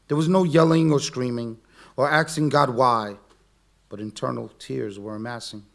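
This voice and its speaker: A man in his forties performing a spoken word poem at an open mic, earnest, slow and heartfelt. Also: medium pitch, creaky. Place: community center event.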